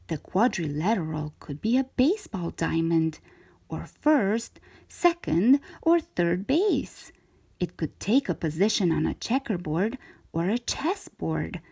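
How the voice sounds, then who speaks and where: A woman reading a children's picture book aloud in expressive narration, drawing out key words for emphasis. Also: fairly low pitch, a little slow, gravelly, slightly rough. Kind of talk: expressive scripted storybook narration for young children.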